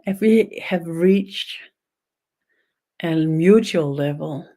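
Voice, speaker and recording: Slow, mellow-voiced Danish woman. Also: lowish voice. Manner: slow, meditative, searching for words. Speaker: spiritual teacher. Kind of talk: online webinar teaching.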